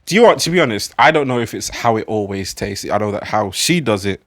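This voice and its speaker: A man's voice: fairly low pitch, animated, fairly bright tone, no echo, clean studio sound. A man in his thirties co-hosting a podcast, teasing in casual chat and keeping the others on track. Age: 30s. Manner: fast, teasing, bossy about the mics. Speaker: podcast co-host and editor.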